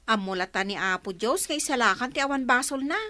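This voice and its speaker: A woman with an Ilocano Filipino accent playing a bossy, sharp-tongued, meddling housemaid in a comedy radio drama. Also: fairly high pitch, lively intonation, fairly quick, no echo, studio quality. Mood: defiant, scolding.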